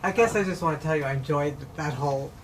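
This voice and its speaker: A woman's voice: sits low, fast. A woman in an audience, casually explaining the thinking behind her question in an informal way. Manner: informal, explanatory, self-correcting. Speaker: audience member asking a question. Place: live event in a bookstore. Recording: faint room noise.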